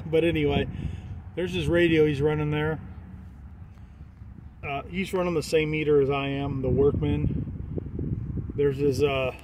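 A man giving a casual, jokey walk-around commentary as an amateur video host, speaking informally with the odd swear word. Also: on the high side. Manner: informal, jokey, mildly profane. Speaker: amateur video host touring CB radio truck setups. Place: parking lot, in and around a pickup truck. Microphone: handheld phone or camera. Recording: noisy handheld outdoor and vehicle recording.

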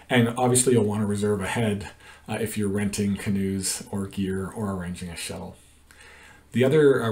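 A man's voice: fairly low pitch, darkish tone, far from the mic. A middle-aged man explains a canoe route over a shared screen, talking in a hesitant way with many ums and uhs. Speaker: canoe trip guide. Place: indoor room.

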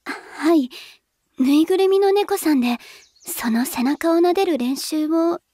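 A woman playing a modest, soft-spoken teenage girl idol who speaks very formally in an anime drama. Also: extremely high pitch, measured pace, dry recording, clean studio sound. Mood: polite, bashful. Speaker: voice actress in character. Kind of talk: anime audio drama dialogue.

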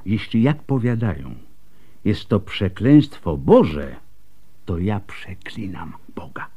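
An actor playing a weary, bitter, bluntly honest doctor in a radio drama, speaking deliberately with sighs. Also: male voice, sits low, sing-song, dark tone, slightly rough, full character voice. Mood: bitter, helpless.

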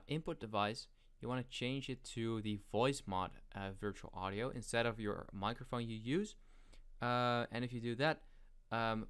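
A man narrating a casual software tutorial step by step, in an informal, hesitant manner with a clear, smooth voice. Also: mid-range voice. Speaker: tutorial host.

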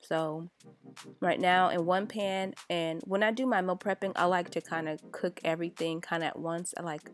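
A woman's voice: lowish voice, normal speed. A woman, a mom chatting casually as she walks through her meal prep. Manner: chatty and relaxed, many fillers. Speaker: vlogger, mom cooking for her kids. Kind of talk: casual cooking voice-over.

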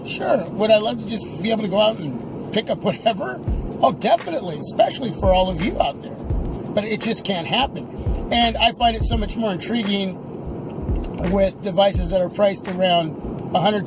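This is a middle-aged man with a notably high voice, talking casually to his viewers as he drives. He thinks aloud in halting, unscripted stretches. He is recorded inside a moving car, with music clearly audible behind him. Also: poor audio. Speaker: tech vlogger. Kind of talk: casual unscripted vlog chat. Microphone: camera or phone on the dashboard.

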